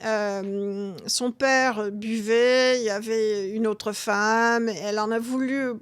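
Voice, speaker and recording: A woman, talking as a guest author in an interview, halting with many restarts and fillers. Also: fairly high pitch, steady tone, clear, resonant tone, no room sound. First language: English. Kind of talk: interview conversation.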